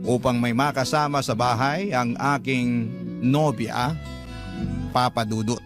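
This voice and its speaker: Radio drama narrator: reflective, troubled boyfriend played by a man, scripted first-person delivery, mid-pitched, clear music behind. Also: fairly even intonation, unhurried, natural voice. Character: heartbroken live-in boyfriend recounting his troubled relationship. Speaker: narrator of a letter sender's story.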